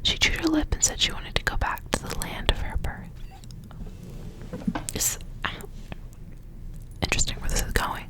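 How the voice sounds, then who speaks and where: A woman speaking in a soft, breathy whisper, hushed like an ASMR reader sharing a story. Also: moderate pace, long pauses. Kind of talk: whispered ASMR story reading with casual asides.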